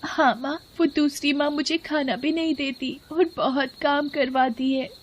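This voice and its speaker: Cartoon storybook princess, played by a woman: small innocent girl, high and plaintive, noticeable noise behind. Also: steady tone, measured pace. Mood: tearful relief. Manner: childlike, plaintive. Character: innocent, sorrowful young princess girl pleading with parents. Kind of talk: Hindi cartoon fairy-tale dialogue. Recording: clear background noise.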